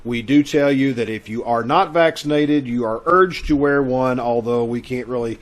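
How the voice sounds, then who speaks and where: A man's voice: medium pitch, normal speed. A man hosting a show over a video call, chatting in a folksy, casual way as he welcomes and announces. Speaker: host.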